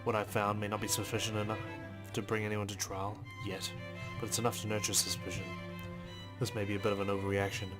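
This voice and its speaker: A man reading visual novel text aloud as a let's player, in a measured, understated storytelling manner with brief asides. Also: on the low side, flat delivery. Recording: music behind the voice. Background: game music.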